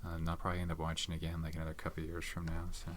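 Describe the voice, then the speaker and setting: Soft-spoken low-voiced man, rambling. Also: completely flat delivery, rapid-fire, noisy background. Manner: soft-spoken, rambling with many fillers and restarts. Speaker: ASMR channel host reviewing his film collection. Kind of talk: soft-spoken ASMR chat.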